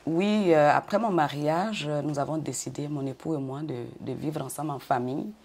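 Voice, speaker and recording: Low-voiced older woman from Côte d'Ivoire. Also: darkish tone, husky. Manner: measured, repeats words, brief laughs. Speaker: interview guest. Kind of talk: interview answers.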